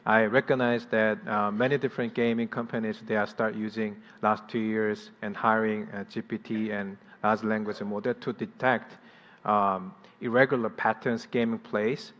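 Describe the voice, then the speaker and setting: A man's voice: fairly low pitch, flat delivery, moderate pace, a bit bright. A man with a Korean accent, whose first language is Korean, giving a conference presentation in English with many fillers and restarts. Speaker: conference speaker. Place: conference hall. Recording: dull audio in a hall with long reverb.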